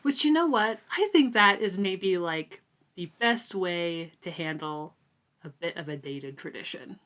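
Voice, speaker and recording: A woman's voice: fairly low pitch, lively intonation, measured pace, a bit bright. A woman co-hosting a podcast, talking through a book casually and chattily, peppering her talk with "like". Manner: chatty, frequent "like" and "super". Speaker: podcast co-host.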